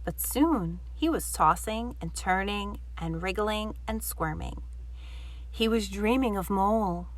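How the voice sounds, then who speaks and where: A woman storyteller reading a children's picture book aloud in an expressive narrating style. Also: medium pitch, takes it slowly, poor audio.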